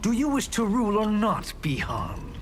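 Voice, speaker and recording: A sly sorcerer, rather high and swooping in pitch. The smug game villain taunts in an oily way over loud music. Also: male voice, huge pitch swings, moderate pace.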